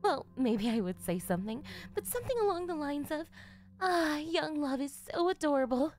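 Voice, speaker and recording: Woman acting a high-voiced, gushing obsessive anime mom. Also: animated, moderate pace, darkish tone, faint music behind. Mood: gushing, cheerful. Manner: chatty, effusive, sugary. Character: gushing, doting, obsessive yandere mother. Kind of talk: ASMR roleplay.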